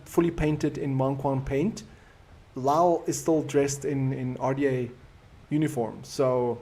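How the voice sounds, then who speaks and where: A man with a South African accent hosts a casual live stream, talking through viewer comments at an easy pace. His voice sits at an ordinary middle pitch, and his native Afrikaans shows through. He sounds thoughtful and conversational. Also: a little mellow. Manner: reflective, easygoing and conversational.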